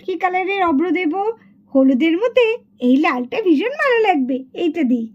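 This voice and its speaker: A woman voicing a bossy, chatty, excitable schoolgirl doll in a children's puppet cartoon. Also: extremely high pitch, moderate pace. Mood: excited. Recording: dull lo-fi sound.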